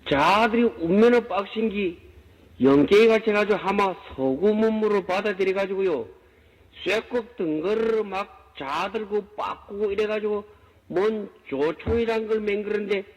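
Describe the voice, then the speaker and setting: A man's voice: high-pitched, a little slow, big-room sound, poor audio. A man playing a Joseon-era scholar-official who urgently warns his king in a thick Gangwon Province dialect, rambling in plain colloquial talk. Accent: Gangwon Province Korean dialect.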